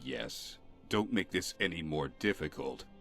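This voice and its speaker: Weary fantasy-game guardsman, extremely low voice, dry and fed up, music underneath. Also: animated, measured pace, a bit bright, heavily acted. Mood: exasperated. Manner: dry, exasperated, sighing. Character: weary, stern city guardsman, fed up with troublemakers. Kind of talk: video game voice acting.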